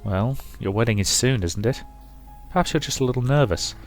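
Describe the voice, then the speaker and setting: A man voicing a gentle, teasing young woman, a loyal friend in a visual novel, soft-spoken and measured. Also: medium pitch, soft background music, lightly acted. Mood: gentle, curious.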